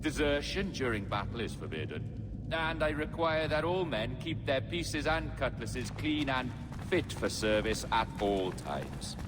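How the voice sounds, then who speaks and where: An actor playing an enigmatic male pirate captain in a video game cutscene, measured and cultured. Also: mid-range voice, very animated, background noise. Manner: measured, cultured, quietly cryptic.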